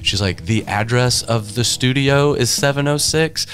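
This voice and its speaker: A man talking casually in an interview, telling stories in an animated conversational way. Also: mid-range voice, a little slow. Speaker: recording studio staff member, interviewee. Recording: guitar music playing behind. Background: guitar music.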